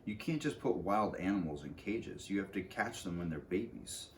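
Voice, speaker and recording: A man's voice: low voice, expressive, normal speed, distant mic. A man voicing a sensible, argumentative farm brother in a storybook read-aloud, with some background noise. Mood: sympathetic, arguing.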